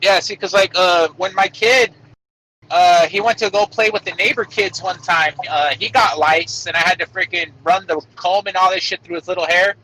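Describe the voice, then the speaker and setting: A man with a shrill voice, swearing freely in crude casual banter, calling in on a Bluetooth headset from his truck. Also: fairly even intonation, pushed and bright. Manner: crude, swears constantly, quick laughs. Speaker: podcast co-host calling in. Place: truck cab while working. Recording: tinny headset line from a truck. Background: truck noise.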